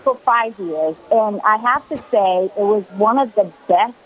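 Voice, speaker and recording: A woman's voice: medium pitch, unhurried, highs cut off. A woman in her forties from Brazil, a guest on a radio call-in interview, telling her story earnestly with many fillers. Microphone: phone call.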